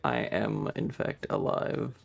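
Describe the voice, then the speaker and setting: A man chats casually to his livestream audience at an unhurried pace. His mid-pitched voice often drops into a creaky fry. He rambles playfully, joking with mock indignation, while faint music plays behind him. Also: huge pitch swings. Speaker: live streamer and host. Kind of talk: casual livestream chat with viewers.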